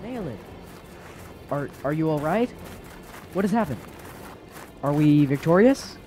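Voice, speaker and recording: A man's voice: a little high, animated, a little slow, noisy background. A man playing a troubled, doubting character in a fantasy radio drama, brooding and hesitant in his speech. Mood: alarmed.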